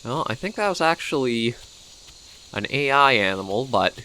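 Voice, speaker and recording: A man's voice: mid-range voice, big pitch swings, moderate pace, bright, forceful tone, slight background noise, low-quality recording. A man with a Canadian accent who is coming down with a cold, giving casual, rambling gameplay commentary as he thinks aloud. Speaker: gaming video host.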